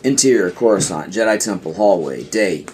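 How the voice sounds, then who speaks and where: A man's voice: deep voice, expressive, normal speed, darkish tone, slight background noise. A man reading scripted scene directions as the narrator of an audio play.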